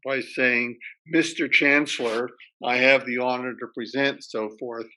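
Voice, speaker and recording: A man's voice: mid-range voice, steady tone, measured pace, sharp tone, a little hiss or hum, poor audio. A man on a panel who stutters, with blocks and repeated words, telling a story in a good-humored way over a video call. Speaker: professor and panelist. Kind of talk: panel discussion anecdote.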